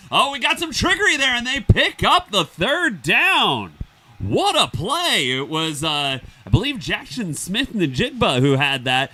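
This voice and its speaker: A man's voice: fairly high pitch, pushed and bright. A man with an upstate New York accent, co-hosting a live stream and giving casual play-by-play commentary on a football game. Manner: easygoing, chatty, with filler words.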